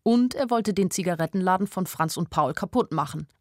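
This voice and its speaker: A woman's voice: lowish voice, animated, brisk, darkish tone, dry recording, clean studio sound, natural voice. Actress as anxious young woman, intimate radio-play narration. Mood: grave, numb. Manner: intimate inner monologue in short plain sentences. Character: anxious young woman narrating her flight, inwardly urgent. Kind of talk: literary radio play narration in present tense.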